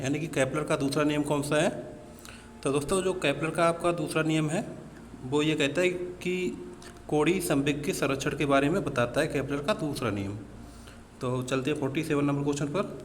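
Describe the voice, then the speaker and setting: A man works through practice questions as a coaching teacher. His voice sits a little high, and he explains things to his listeners as friends, dropping in English technical words. The recording is reverberant, with some noise behind him. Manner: mixes English technical terms into speech. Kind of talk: practice question walkthrough lesson. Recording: reverberant room with background noise.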